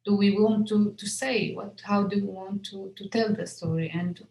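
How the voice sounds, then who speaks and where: A woman's voice: medium pitch, fairly even intonation, normal speed, vocal fry, a little mellow, echoey room, slight background noise, poor audio. A young woman, a film director whose first language is Arabic, answering questions at a festival Q&A with many fillers and restarts. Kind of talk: festival Q&A conversation.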